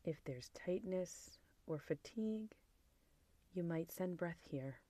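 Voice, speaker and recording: Slow, low-pitched woman guiding meditation. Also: lively intonation, dry recording. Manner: soft, gentle, soothing, with breathy near-whispered phrase endings. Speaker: meditation guide. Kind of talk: guided body scan meditation.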